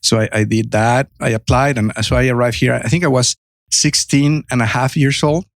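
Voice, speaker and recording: A man's voice: mid-range voice, fairly even intonation, normal speed, no echo. A man in his fifties from Mexico with a Spanish accent, telling his story as a guest in a podcast interview, earnest and full of restarts. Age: 50s. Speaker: guest entrepreneur.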